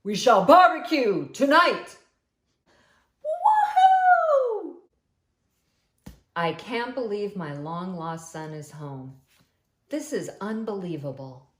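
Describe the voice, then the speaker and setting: A woman voicing a joyful, excited father who shouts with glee at his son's return. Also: slow, long pauses, reverberant. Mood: exuberant. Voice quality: high, shouting, exuberant. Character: joyful excited father, middle-aged man.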